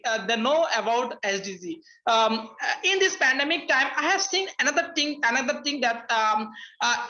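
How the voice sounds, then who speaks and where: High bright Bangladeshi woman, halting. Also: highs cut off. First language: Bengali. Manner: hesitant, many uh and um fillers, restarts. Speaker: webinar panelist. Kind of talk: halting spoken remarks in a webinar. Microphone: video call.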